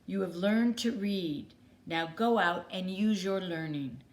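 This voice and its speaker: A woman reading the part of a calm, shrewd schoolteacher in a storybook, measured and firm. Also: on the low side. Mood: instructive. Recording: clearly noisy background.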